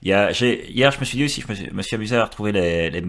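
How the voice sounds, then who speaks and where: Belgian-accented man, podcast guest, low voice, halting explainer. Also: animated, fairly bright tone. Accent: Belgian French. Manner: hesitant, many restarts and fillers while explaining. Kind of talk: casual tech podcast chat.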